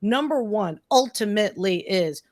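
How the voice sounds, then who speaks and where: A woman's voice: medium pitch, animated, normal speed, a little mellow. Chatty, enthusiastic woman podcast guest. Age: middle-aged. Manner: enthusiastic, chatty, emphatic, checks in with "right?". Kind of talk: casual conversational interview.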